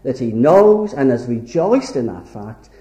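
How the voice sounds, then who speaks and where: A man's voice: medium pitch, sing-song, measured pace, warm tone. A man from Wales preaching a sermon-style lecture, earnest and emphatic, lingering on key words. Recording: echoey hall.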